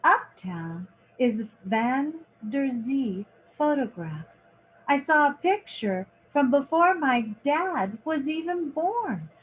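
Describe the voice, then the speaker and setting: Lady teacher doing a kids book read aloud, kinda high voice, really slow and measured. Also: sing-song, slight background noise, rough recording.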